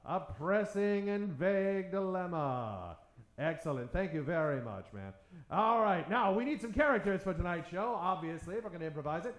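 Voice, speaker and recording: A man's voice: sits high, animated, fairly quick. A man hosting a live improv show in mock old-time radio style, theatrical and tongue-in-cheek, addressing a theater audience. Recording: roomy, echoing theater stage.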